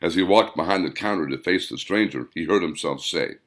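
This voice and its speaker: A man reading a scripted story aloud as an audiobook narrator, with deliberate, dramatic emphasis. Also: sits low, a bit bright. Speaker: author narrating own audiobook.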